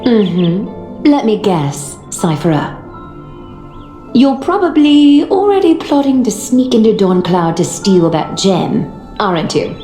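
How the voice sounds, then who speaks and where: A woman voicing a composed, gracious and wealthy young seamstress in a video game, poised and gently teasing. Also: medium pitch, sing-song, slow pace, roomy sound. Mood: knowing, amused. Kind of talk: acted video game dialogue. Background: background music.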